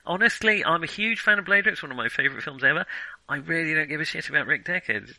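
Bright, forceful man in opinionated film chat. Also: a little high, animated, quick pace, gravelly, no room sound, low-quality recording. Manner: hesitant, self-interrupting, opinionated. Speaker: film discussion podcast host. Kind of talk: casual film criticism chat.

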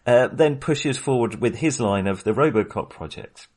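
A man's voice: mid-range voice, expressive, normal speed, darkish tone. A man chatting casually about a film, rambling with many hesitations and frequent chuckles. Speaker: podcast host.

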